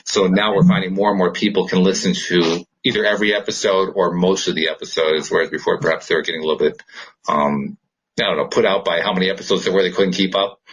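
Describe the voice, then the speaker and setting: A man's voice: deep voice, steady tone, fast talker, slightly rough, muffled recording. A man co-hosting a podcast in a casual, reflective way, often rambling and searching for words. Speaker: podcast co-host. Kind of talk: casual spiritual chat.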